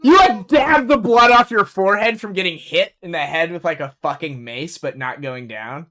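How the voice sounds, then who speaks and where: A man narrating a tabletop game as game master, animated and laughing often. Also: high-pitched, normal speed.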